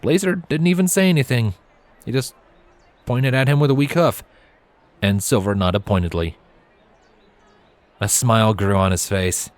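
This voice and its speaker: A man reading a story aloud as narrator, in an expressive scripted storytelling style. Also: mid-range voice, normal speed, pauses often, dry recording.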